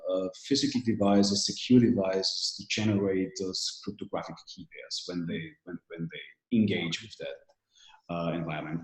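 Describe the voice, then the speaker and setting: Low-voiced man in echoey room. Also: a little mellow. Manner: halting, non-native English phrasing. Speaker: industry expert interviewee. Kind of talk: explanatory interview answers. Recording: roomy, echoey sound.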